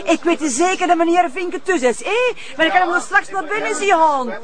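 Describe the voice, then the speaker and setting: A woman's voice: very high voice, animated, normal speed. Busy crowd behind, flemish woman a nervous gossipy neighbour widow, folksy, repeats herself, tv drama. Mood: fretful, chatty. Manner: folksy, repetitive, flustered. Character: fussy, nervous, chatty widowed female neighbour. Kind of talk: TV drama acting.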